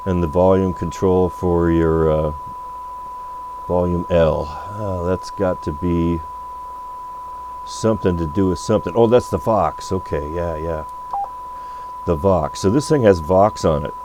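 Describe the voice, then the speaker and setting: Low voiced guy doing a hands-on demo at his workbench, kinda thinking out loud, noisy hum. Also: a little slow, long pauses. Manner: off-the-cuff, thinking aloud. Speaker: radio repair bench presenter. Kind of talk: unscripted hands-on product demo. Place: electronics repair workbench. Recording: noisy recording with electrical hum.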